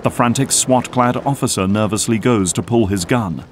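A man's voice: on the low side, normal speed, background noise. A man narrating an action audio drama from a script.

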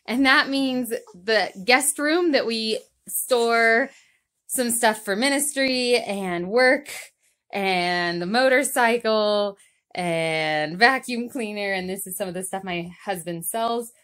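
A woman in her thirties, narrating a casual home cleaning vlog and speaking to her toddler in a gentle sing-song way, in a small bedroom with a camera across the room. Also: fairly high pitch, slow pace, smooth. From United States. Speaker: vlogger and mother. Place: small apartment bedroom indoors. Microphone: camera set up across the room.

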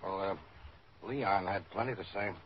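An actor playing a tough police detective in an old radio drama, dry, laconic and needling. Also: male voice, low voice, unhurried. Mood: dryly needling. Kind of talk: 1950s radio drama acting. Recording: muffled, noisy old radio recording.

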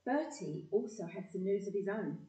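Female narrator, acted by a woman, mellow, mid-range storytelling, community audio drama, distant roomy mic. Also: mid-range voice, lively intonation, normal speed, lightly acted. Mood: matter-of-fact. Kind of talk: scripted narration. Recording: echoey room, distant microphone.

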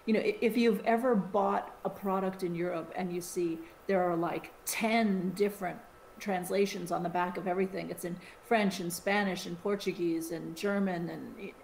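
A middle-aged woman from the United States, co-hosting a podcast in a casual, animated chat with frequent restarts and side remarks. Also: lowish voice, moderate pace, gravelly. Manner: chatty, animated, with many restarts. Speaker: podcast co-host. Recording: roomy sound with faint hiss.

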